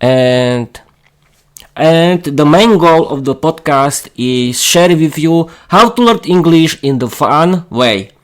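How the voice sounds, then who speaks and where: A man in his thirties from East Slovakia with a Slovak accent, hosting his own podcast in halting learner English full of restarts. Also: fairly high pitch, clear, resonant tone. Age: 30s. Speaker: podcast host. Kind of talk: solo podcast monologue.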